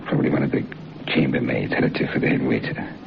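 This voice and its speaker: An actor playing a wry, teasing hotel guest in an old radio drama. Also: male voice, extremely low pitch, fast talker, creaky, heavily acted. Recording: dull old radio recording with hiss.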